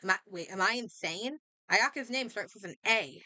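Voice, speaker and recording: A woman streamer chatting casually and thinking aloud in an expressive way as she comments on a game. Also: medium pitch, moderate pace, no echo.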